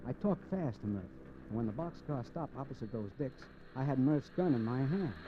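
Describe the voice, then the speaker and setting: Actor as a cynical hard-boiled crook, muffled old radio. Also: male voice, medium pitch, lively intonation, moderate pace, background noise, lightly acted. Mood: cynical narration. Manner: hard-boiled, slangy, sardonic. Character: cocky, cynical, scheming male crook narrating his crime. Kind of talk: 1950s radio crime drama acting.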